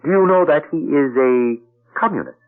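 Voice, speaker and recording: A man's voice: a little high, expressive, normal speed, lightly acted. A man playing a suave, polite male intelligence agent who narrates and speaks formally in proverbs, in an old radio play. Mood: courteous. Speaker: narrator and lead character. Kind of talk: 1940s radio acting. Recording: tinny old radio broadcast.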